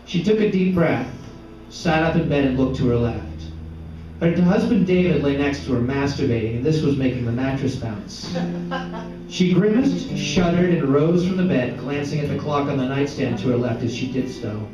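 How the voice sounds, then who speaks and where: A man reading a story aloud as dramatic, deliberate literary narration in an indoor gallery. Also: medium pitch, expressive. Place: echoey indoor art gallery. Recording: echoey room, distant mic, dull sound, music behind. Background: plucked guitar music.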